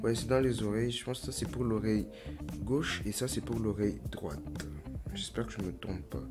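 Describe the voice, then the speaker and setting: A man's voice: fairly low pitch, a little fast, music in the background. A young man casually unboxing and testing earbuds on his vlog, thinking aloud in a chatty, slangy, self-mocking way. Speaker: YouTuber.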